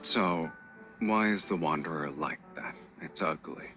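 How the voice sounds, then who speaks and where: An actor playing a smug, protective game love interest who teases slowly and calmly. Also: male voice, extremely low pitch, soft background music, exaggerated voice. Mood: teasing. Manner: teasing and unhurried.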